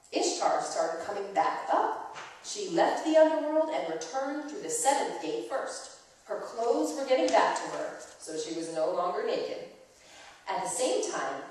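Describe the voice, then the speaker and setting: A woman telling a myth aloud in a dramatic reading, expressive and explanatory, as if to children. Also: mid-range voice. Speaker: storyteller. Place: church, performed live before listeners. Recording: very echoey church hall, distant microphone.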